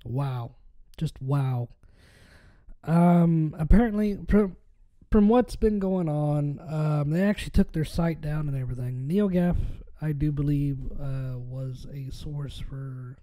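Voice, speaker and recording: A man hosting his own podcast, hoarse and a little raspy after being sick, rambling casually with lots of fillers. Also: fairly high pitch, slow pace, dark tone. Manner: rambling with many fillers and restarts. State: hoarse from a recent illness. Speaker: podcast host. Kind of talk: casual solo podcast talk.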